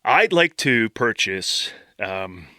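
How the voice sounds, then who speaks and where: A man playing an embarrassed, easily exasperated boyfriend in a comedy sketch, halting and nervous. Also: on the low side, big pitch swings, sharp tone, no room sound, studio quality, heavily acted. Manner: halting, nervous, giggly, bursting into outcries. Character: squirming, embarrassed boyfriend who gets exasperated.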